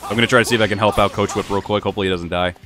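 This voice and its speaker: A young man chatting through a casual let's-play, rambling with many fillers, his voice a little hoarse and wearing thin. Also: fairly low pitch, steady tone, rapid-fire, a bit bright, soft background music. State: slightly hoarse, losing his voice. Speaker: gaming channel host.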